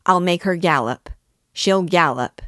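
A woman voicing a brutal, drunken young peasant man who shouts boastful, goading commands in an audiobook. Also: deep voice, slow, dry recording, studio quality. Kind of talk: audiobook character voice.

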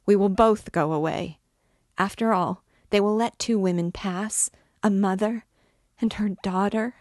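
A woman's voice: mid-range voice, unhurried, darkish tone, no echo, clean studio sound, voice pushed up, close to an everyday voice. A woman voicing a desperate mother who pleads for her daughter's life in a dramatic, emphatic storybook reading. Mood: desperate pleading. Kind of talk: dramatic audiobook character reading.

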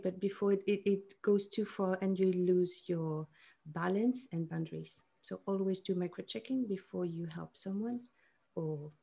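A woman coach with a soothing manner, explaining slowly and searching for words in an online conference session over a video call. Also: fairly low pitch, vocal fry, warm tone. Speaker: embodiment coach, session speaker.

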